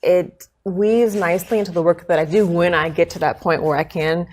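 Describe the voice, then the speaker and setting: A woman's voice: lowish voice, fairly even intonation, a little fast, a little mellow, a little hiss or hum. A woman in her thirties from Chicago, a guest giving interview answers in a reflective way and often ending with "right?". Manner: reflective, frequent tag "right?". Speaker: guest, consultant.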